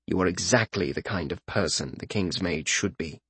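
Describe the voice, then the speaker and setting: A man voicing an unflappable warrior king in an audiobook, calm, clipped and matter-of-fact. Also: low voice, moderate pace, slightly rough, no echo, voice pushed down, heavily acted. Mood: calm authority. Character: cool, commanding male warrior king, unflappable.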